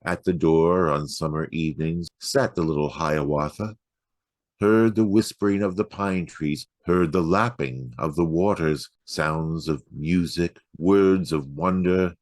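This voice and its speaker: A man giving a dramatic poetry reading in a measured, rhythmic storytelling delivery. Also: rumbling low, no echo. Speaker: poetry reader.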